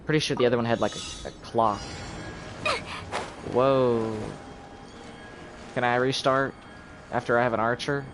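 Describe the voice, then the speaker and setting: A man's voice: medium pitch, fairly even intonation, unhurried, long pauses, noisy background. A man giving casual live commentary on a video game, thinking aloud as he plays. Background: video game music.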